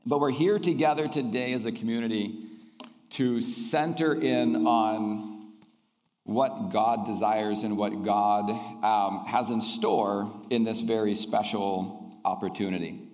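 A man's voice: lowish voice, fairly even intonation, takes it slowly, a little mellow. A man in his fifties, a teacher raised in Indonesia, giving an earnest, reflective talk to a school community with frequent ums. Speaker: math teacher. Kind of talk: chapel talk to students. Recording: long hall reverb.

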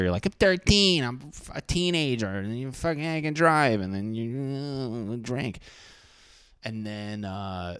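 A man in his thirties rambles through a solo podcast monologue, swearing freely and poking fun at himself. His voice sits a little low. The sound is dry and close, with no room around it. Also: dry recording. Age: 30s. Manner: rambling, profane, self-deprecating. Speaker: podcast host.

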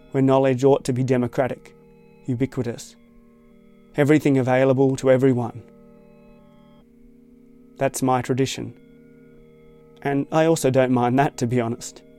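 An Australian man narrates a reflective essay in a measured, thoughtful way. His voice is mellow and smooth, and the delivery stays flat and even. Faint guitar music plays behind him. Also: mid-range voice. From Tasmania, Australia.

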